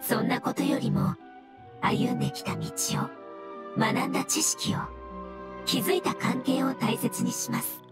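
A slow, courteous fungus spirit. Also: female voice, high-pitched, fairly even intonation. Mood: calm, explaining. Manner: formal, measured, polite. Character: polite, wise floating jellyfish fungus spirit, calm elder-like explainer. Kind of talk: Japanese game dub dialogue. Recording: loud background music behind voice.

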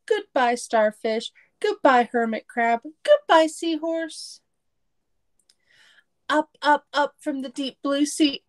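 A middle-aged woman reads a storybook for young children. Her voice sits high and she takes her time, with an expressive, sing-song delivery. It sounds like a home recording on a computer. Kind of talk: children's picture book read-aloud with asides to young listeners. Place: small room at home. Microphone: computer mic on a video call setup.

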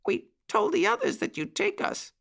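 A man voicing excitable little puppy boys in a children's story, eager and pleading with barking exclamations. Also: extremely high pitch, moderate pace, creaky, voice pushed up, exaggerated voice.

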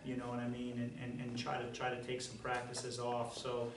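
A middle-aged man, a basketball coach, answering press questions in a halting way with many fillers and restarts. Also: mid-range voice, completely flat delivery. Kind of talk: post-game press conference answers. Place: press conference room. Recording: roomy, distant, faint noise.

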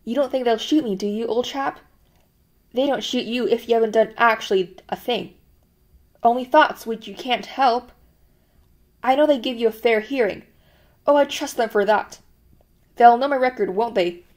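A woman puts on a terrified, servile middle-aged man in an audiobook. He pleads eagerly and anxiously, almost blubbering, his voice lifted higher and louder than the narration. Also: moderate pace, long pauses, natural voice. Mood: pleading.

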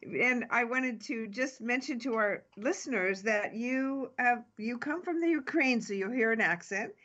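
A woman's voice: on the high side, unhurried, fairly bright tone. A woman hosting a talk show, reading her introduction in an upbeat way and stumbling a little. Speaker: radio show host. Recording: slightly dull, lo-fi sound.

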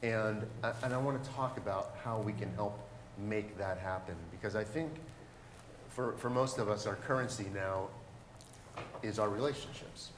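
An older man moderating a panel, telling an anecdote and posing questions informally, often pausing mid-phrase. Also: fairly low pitch. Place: stage of a lecture hall, indoors. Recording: echoey hall with background noise.

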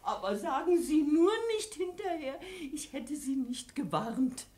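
Insistent high-voiced housemaid. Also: female voice, animated, unhurried, darkish tone. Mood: warning. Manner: insistent, admonishing. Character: bossy, warning housemaid of a professor. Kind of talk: 1950s German radio play acting.

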